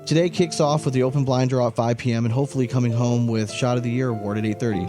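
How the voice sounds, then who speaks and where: A man's voice: medium pitch, fairly even intonation, quick pace, music in the background. A man co-hosting a podcast in casual, opinionated chat, emphatic, often repeating himself and swearing freely. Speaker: podcast co-host.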